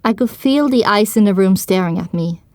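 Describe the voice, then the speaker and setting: A woman delivers scripted first-person narration for a story. Her pitch sits in the middle range. The sound is dry, with no room to it. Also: normal speed, darkish tone, no room sound.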